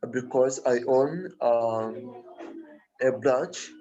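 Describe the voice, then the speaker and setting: A man from Tanzania who runs a youth organization, speaking slowly and politely on a video call, halting as he gives formal thanks and asks a question. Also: medium pitch, expressive, mellow, dull audio, faint music behind.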